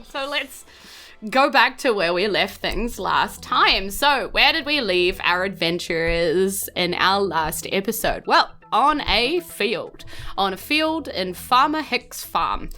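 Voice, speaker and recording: Animated, bright-voiced New Zealand woman game master. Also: medium pitch, takes it slowly. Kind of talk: improvised narration of a tabletop game. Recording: music bed behind the voice.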